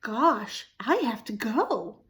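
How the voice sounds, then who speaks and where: Lady reading as sweet little boy owl in a storybook, wistful, high voice, lots of swoop. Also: animated, slow pace, fairly bright tone. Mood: wistful hurry. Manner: gasping, wistful.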